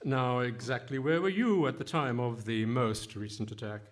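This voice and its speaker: Man playing a pompous inquiry official, mid-pitched. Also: moderate pace, lightly acted. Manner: pompous, formal. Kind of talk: comic radio play before a live audience.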